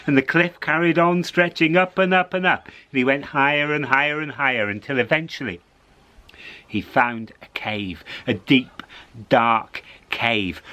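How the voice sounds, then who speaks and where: A man telling a story to children in an expressive, encouraging way, repeating phrases for dramatic suspense. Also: medium pitch.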